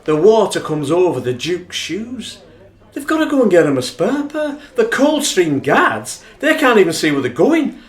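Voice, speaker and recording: An actor with a Northern English accent playing an impassioned rugby fan in a radio play, brooding over an old defeat. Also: male voice, high-pitched, sing-song, normal speed. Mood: incredulous. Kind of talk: dramatic radio play retelling. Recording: roomy, echoing sound.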